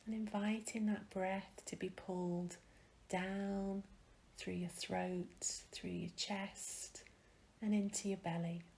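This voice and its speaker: A woman leading a guided meditation in a soft, breathy, soothing manner with gentle pauses. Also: medium pitch, level tone, rough recording.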